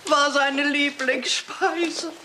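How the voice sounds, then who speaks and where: Slow, plaintive fussy aunt. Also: female voice, sits high, fairly even intonation. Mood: plaintive, mournful. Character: doleful, fussy spinster aunt of a lordly family. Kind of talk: German radio crime drama acting. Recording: clearly noisy old radio play recording.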